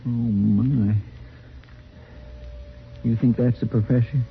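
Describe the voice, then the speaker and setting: A man's voice: medium pitch, steady tone, gravelly. A simple, loyal sidekick from the Old West, folksy and respectful toward his boss. The voice sits in a dull old radio recording with light noise. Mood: troubled musing. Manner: folksy, deferential, drawn-out musing. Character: loyal, simple, folksy frontier lawman's helper. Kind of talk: old-time radio western acting.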